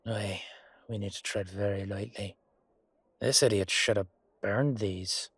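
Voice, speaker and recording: A low, close and dry male voice from an actor as a fantasy knight with an Irish accent. He is gruff, fiercely protective and hot-headed, with a wry, loyal way of talking, made for a roleplay audio drama. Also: steady tone, unhurried, a bit bright, no room sound, heavily acted. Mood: grim, wary. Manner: gruff, swearing, colloquial.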